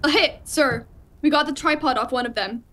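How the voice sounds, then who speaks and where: Woman as high-voiced anxious artillery spotter. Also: moderate pace, pushed and bright. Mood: tense, alarmed. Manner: terse, deferential. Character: anxious artillery spotter reporting to a superior officer. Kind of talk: radio play acting.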